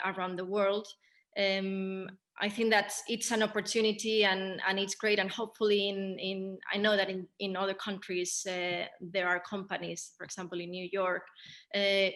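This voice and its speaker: Female director leading a post-show Q&A: sharp bright voice, little pitch movement, pauses to find words. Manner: halting, careful, searching for words. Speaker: theatre director hosting a Q&A. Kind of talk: casual post-show panel discussion.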